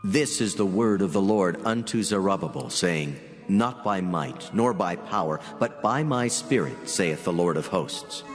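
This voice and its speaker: A man playing a solemn, authoritative angel who proclaims God's commands in a grave, measured, declamatory way in dramatized scripture. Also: low voice, animated. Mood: solemn, proclaiming. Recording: long hall reverb, music underneath. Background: background music.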